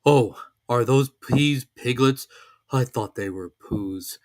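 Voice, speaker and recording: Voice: mid-range voice, slow pace, a little mellow, lightly acted. A storybook reader voicing a small, simple toy bear with a growly voice and an earnest, proud, slightly ponderous manner. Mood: puzzled.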